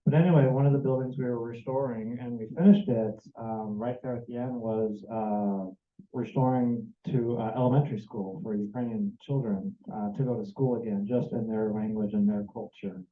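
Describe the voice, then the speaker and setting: A man's voice: medium pitch, fairly even intonation, moderate pace. An American man giving an earnest, meandering personal testimony to a church group, sounding a little jet-lagged. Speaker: church member sharing a mission trip report. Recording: tinny, echoey room recording.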